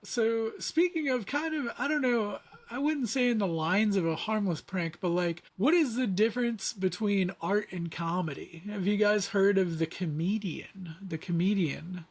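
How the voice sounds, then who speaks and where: A man hosting a casual podcast, animated as he retells posts he read in quoted asides. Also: high voice, moderate pace, hardly any pauses. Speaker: podcast host.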